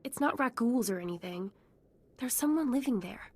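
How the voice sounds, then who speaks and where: Earnest settler lady in a video game, high voice, pleading but polite. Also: animated, moderate pace, a little mellow. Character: earnest, hopeful female settler reclaiming a family home. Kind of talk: scripted video game acting.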